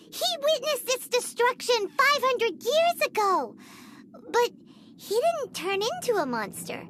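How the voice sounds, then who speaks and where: A woman's voice: extremely high pitch, full character voice. A woman voicing a tiny, curious and talkative fairy companion in a game, who speaks of herself in the third person. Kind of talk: game cutscene voice acting. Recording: loud background noise.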